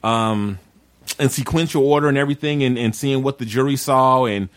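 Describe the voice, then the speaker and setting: A man hosting a casual group podcast, chatting in an animated, laughter-prone way. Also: medium pitch, normal speed. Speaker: podcast host.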